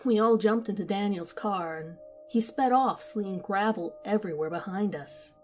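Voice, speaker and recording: A woman reading a first-person horror story aloud, in a quiet, measured storytelling voice. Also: mid-range voice, soft background music. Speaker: narrator. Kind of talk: scripted horror story narration.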